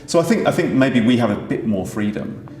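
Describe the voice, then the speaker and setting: A man, a pantomime writer and performer, talking through his ideas in a thoughtful, halting way with many fillers. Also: mid-range voice, lively intonation, a little mellow. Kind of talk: conversational discussion. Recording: echoey room with faint background noise.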